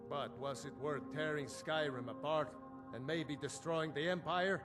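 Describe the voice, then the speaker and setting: A man's voice: on the high side, steady tone, measured pace, close to an everyday voice. A man playing an earnest, opinionated middle-aged Nord blacksmith in a video game. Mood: indignant. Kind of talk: scripted video game dialogue. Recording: game music behind the voice.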